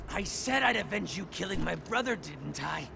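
Vengeful dying assassin for a video game: theatrical, menacing, heavy rasping fry, widely swinging pitch, noisy ambience behind. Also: male voice, animated, heavily acted. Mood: grave, bitter. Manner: slow, menacing, grandly theatrical pronouncements. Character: vengeful, bitter male assassin, gravely wounded. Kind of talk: dramatic video game acting.

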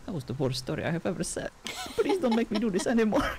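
A woman's voice: medium pitch, very animated, fast talker, background noise. A woman voicing an awkward, self-doubting, easily embarrassed storyteller in a fantasy game roleplay, hesitant and self-deprecating.

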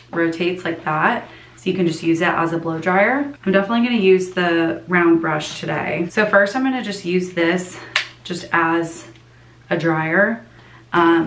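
A woman's voice: fairly low pitch, fairly even intonation, unhurried, roomy sound, background noise. A young woman in her twenties chatting casually to the camera in a vlog, full of "like" fillers, filmed at home and in her car. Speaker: vlogger. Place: small apartment bathroom and car.

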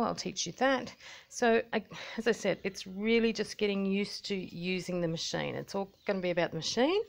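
Australian woman craft teacher, bubbly casual promo chat, medium pitch, lots of filler ums. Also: creaky. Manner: bubbly and chatty, frequent ums.

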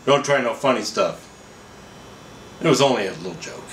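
A man's voice: medium pitch, lively intonation, moderate pace, natural voice. A man voicing a weary, bossy burglar from a comic story, curt and slangy. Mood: threatening. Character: gruff, weary male burglar, bossy and irritable. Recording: roomy echo, faint background noise.

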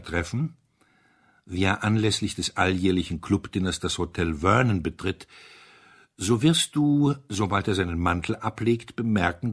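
A man reading a literary story aloud as scripted narration, in a measured way with dry irony. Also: sits low, a bit bright, husky. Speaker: audiobook reader.

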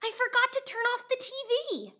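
Lady doing little animal schoolkid in a picture book, high voice, swoopy, super eager. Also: lively intonation, normal speed, sharp tone, exaggerated voice. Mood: earnest. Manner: eager, exclamatory. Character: eager young animal pupils, excitable and earnest.

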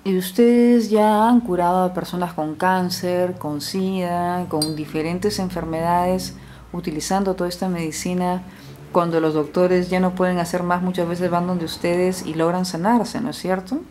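A woman interviewer asking long, leading questions in an unhurried way. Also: lowish voice, steady tone, darkish tone, slight background noise.

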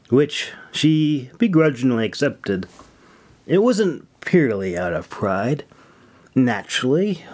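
A man with a mid-range voice, working as an audiobook narrator. He speaks at a slow pace, breaking his sentences into short, deliberate phrases with pauses between them. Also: mid-range voice, darkish tone. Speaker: audiobook narrator and author-creator. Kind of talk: scripted story narration, then casual thanks to listeners.

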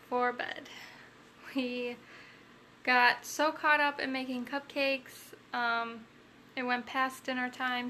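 Chatty young mom vlogging at home. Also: female voice, high-pitched, pushed and bright. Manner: chatty, upbeat, talks to her toddlers and the camera. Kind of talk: casual home vlog. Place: small home kitchen and playroom, indoors. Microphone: camera on a tripod. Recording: noisy home video.

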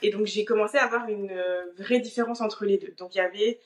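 A woman's voice: mid-range voice, fairly even intonation, brisk. French woman in her thirties, interview guest on a video call, hesitant with fillers, roomy echo. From France. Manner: thoughtful, many fillers. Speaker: guest, online business manager. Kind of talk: casual interview answers.

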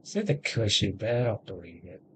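A man in his thirties giving casual, halting gameplay commentary, thinking aloud as he plays. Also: fairly low pitch, sing-song, fairly bright tone, slight background noise. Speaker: gamer recording a playthrough.

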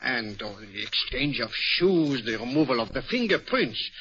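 An actor playing a polite, shrewd Belgian detective with a French accent, speaking precisely and theatrically in a 1940s radio drama. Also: male voice, medium pitch, very animated, moderate pace, crowd noise, close to an everyday voice. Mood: gently firm. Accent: French-accented English. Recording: dull lo-fi vintage radio broadcast.

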